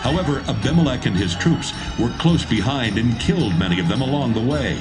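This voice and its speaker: A man narrating a dramatised Bible reading in a steady, measured way. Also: mid-range voice. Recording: narration over music.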